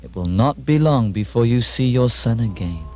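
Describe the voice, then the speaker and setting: A man playing a wise, measured sage. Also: medium pitch, lively intonation, darkish tone, natural voice. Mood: reassuring, instructing. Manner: measured, didactic, formal. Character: wise, calm sage, kindly instructing and testing. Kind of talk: puppet show dialogue, measured formal delivery.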